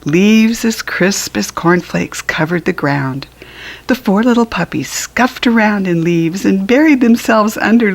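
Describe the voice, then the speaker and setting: Woman, American accent, children's storybook narration, unhurried pace, expressive, deliberate enunciation. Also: mid-range voice. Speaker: children's book reader.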